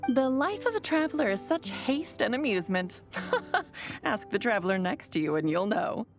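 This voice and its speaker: A woman's voice: a little high, sing-song, normal speed, highs cut off, soft background music. A woman voicing a playful, doting sorceress mother in a video game, with a theatrical, whimsical and affectionate delivery. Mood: playful, breezy. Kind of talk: video game voice acting, recorded-message monologue.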